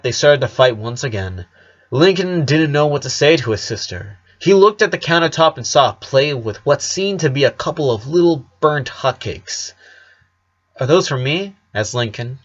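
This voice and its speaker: A man's voice: medium pitch, dull audio. A man reading a scripted story aloud as narrator. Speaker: story reader. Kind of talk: scripted narration of fan fiction.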